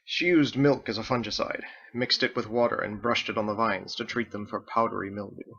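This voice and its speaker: Man playing a gravelly, musing old-fashioned ship's captain. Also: lowish voice, steady tone, fairly bright tone, dull audio, exaggerated voice. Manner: ruminating, unhurried, bookish phrasing. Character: educated, drowsy, pipe-smoking ship's captain, musing.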